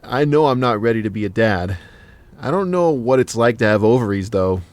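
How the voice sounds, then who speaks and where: Guy acting as cheeky slangy lover in audio drama, medium pitch man, close dry sound. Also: lively intonation, fairly quick, no echo, close to an everyday voice. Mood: teasing, blunt. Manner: slangy, blunt, joking.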